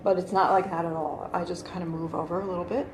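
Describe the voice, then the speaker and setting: A woman's voice: lowish voice, flat delivery, fast talker, crowd noise. A woman in her forties explaining her channeling to a small group in a living room, chatty, with many fillers and restarts, recorded on a phone camera across the room. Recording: roomy with faint background hum.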